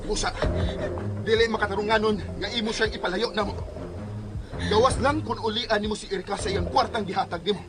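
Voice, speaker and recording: Guy playing stern doctor in a radio drama, high pitched for a man, talks fast, loud music behind. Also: fairly even intonation, natural voice. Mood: firm persuading. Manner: emphatic, admonishing. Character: stern male doctor demanding a mother give up baby. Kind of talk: Cebuano radio drama acting.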